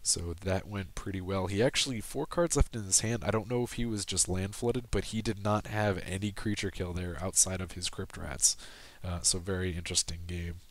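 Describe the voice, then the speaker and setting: Low, flat-voiced man explaining a card game. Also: moderate pace, no echo. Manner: steady explanatory, frequent ums and self-corrections. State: losing his voice. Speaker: gameplay commentator. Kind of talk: casual game commentary over screen recording.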